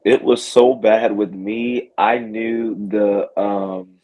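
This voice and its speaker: A man's voice: lowish voice, steady tone, slow, mellow, no room sound, rough recording. A man in his early twenties, a guest on a casual podcast, talking in a laid-back way full of "like". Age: 20s. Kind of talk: casual interview chat.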